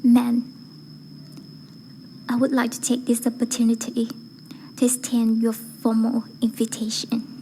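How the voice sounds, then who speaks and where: A woman with a fairly high, very mellow voice recites a prepared speech. Her delivery is careful and earnest, with very little rise and fall. Faint noise sits behind her. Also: completely flat delivery, unhurried, pauses often, poor audio. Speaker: voice acting learner reading a speech. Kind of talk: rehearsed public speech recitation.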